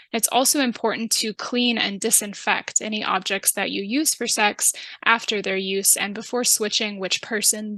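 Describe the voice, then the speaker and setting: A woman with a calm, polite and methodical manner, giving instructions in a scripted public health training video. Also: mid-range voice, no room sound. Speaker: public health interviewer and narrator.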